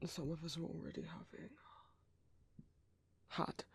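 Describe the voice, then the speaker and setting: Guy playing a british vampire priest, super breathy n soft, slow talker, kind and kinda apologetic, asmr roleplay. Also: fairly high pitch, flat delivery, heavily acted. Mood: gentle, caring. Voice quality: soft, breathy, often near whisper. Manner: hesitant, rambling, formal politeness. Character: gentle British male vampire priest, kindly, caring, apologetic.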